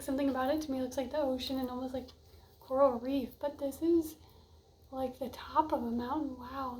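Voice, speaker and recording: Soft-voiced woman doing a card reading. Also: high-pitched. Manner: dreamy, musing, often trails off. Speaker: card reader. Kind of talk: casual oracle card reading.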